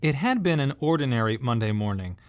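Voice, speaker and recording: A man delivering scripted dramatic narration in a radio monodrama, reflective and measured, with emphatic pauses. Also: medium pitch, very animated. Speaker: playwright performing his autobiographical monologue.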